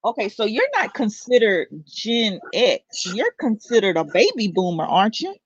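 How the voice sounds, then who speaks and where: A live stream host chatting casually and asking measured questions. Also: mid-range voice, very animated, breathy edge, dry recording. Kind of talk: casual chat. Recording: dull lo-fi stream audio.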